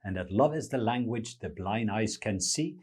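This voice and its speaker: A middle-aged man with a Norwegian accent, a non-native English speaker, teaching on his podcast in an earnest, deliberate way with slightly non-native phrasing. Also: on the low side, animated, darkish tone, breathy edge. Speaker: preacher and podcast host. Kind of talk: unscripted teaching monologue.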